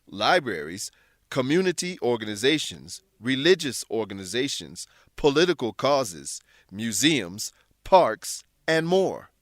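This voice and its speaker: A man reads scripted educational narration in a deliberate, clearly worded, instructional manner. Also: mid-range voice, fairly bright tone, no room sound, studio quality. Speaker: narrator and English teacher.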